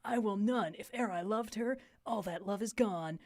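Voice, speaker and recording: A woman plays a young gentleman lover in a stage comedy, his voice pitched down. He is scornful and theatrical, delivering lines in a measured declamation. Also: lightly acted. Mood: dismissive.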